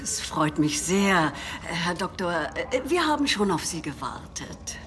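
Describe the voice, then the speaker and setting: A woman's voice: on the low side, very animated, slow pace, fairly bright tone, soft background music, heavily acted. An actress playing a stately, formal woman president in a comedic sci-fi audio drama.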